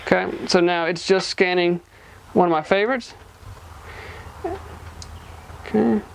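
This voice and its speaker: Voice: medium pitch, takes it slowly, creaky, slight background noise, rough recording. A person giving a casual, hands-on product demonstration, explaining informally step by step. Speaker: gadget reviewer.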